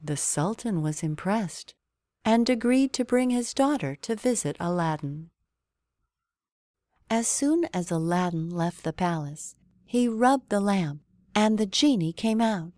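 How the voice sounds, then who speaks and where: A woman reading a children's bedtime story as an expressive storyteller. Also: mid-range voice, measured pace, dry recording.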